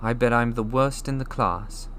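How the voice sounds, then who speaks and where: A man voicing a modest, earnest young orphan boy who is new to magic, in an animated storybook reading. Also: medium pitch, normal speed, slight background noise, pitched above the performer's own voice, natural voice. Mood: anxious, modest.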